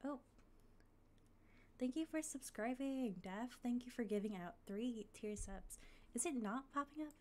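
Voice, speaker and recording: Slightly raspy woman streaming casually. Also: on the high side, unhurried. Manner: chatty, thanks followers, thinks aloud with fillers. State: coming down with a cold. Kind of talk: casual live-stream chat.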